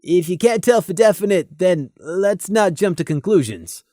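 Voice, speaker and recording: A woman playing a dutiful, cautious pony officer with a measured, earnest way of talking in an audiobook. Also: fairly low pitch, animated, mellow, no echo, studio quality, pitched above the performer's own voice, natural voice. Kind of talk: fan fiction audiobook character voice.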